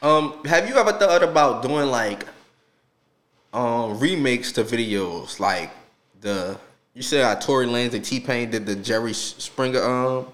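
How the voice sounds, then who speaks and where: A man's voice: medium pitch, a little slow, a bit bright. A man in his twenties with a New Orleans accent, chatting casually and slangily as he interviews a guest on his podcast. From New Orleans, USA. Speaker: podcast host.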